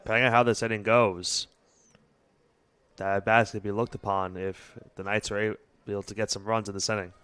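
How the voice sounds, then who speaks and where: A man's voice: on the low side, little pitch movement, a little fast, fairly bright tone, clean tone. Young man giving baseball color commentary. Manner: analytical, explains the game situation.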